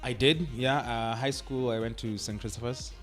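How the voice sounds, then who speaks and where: A man's voice: medium pitch, moderate pace, over music. A young Kenyan man, an actor, chatting easily in a radio interview, laughing often and filling with "like" and "I mean". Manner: relaxed, laughs often, uses "like" and "I mean" a lot. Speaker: actor guest. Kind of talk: radio interview, casual chat.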